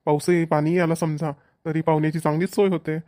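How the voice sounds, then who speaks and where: A chatty middle-aged village father from a cartoon comedy, acted by a man, practical and a little bossy. He speaks rapidly in a fairly high voice with a Varhadi Marathi accent, repeating himself for emphasis. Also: little pitch movement, dark tone, no echo, studio quality, natural voice. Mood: agreeable.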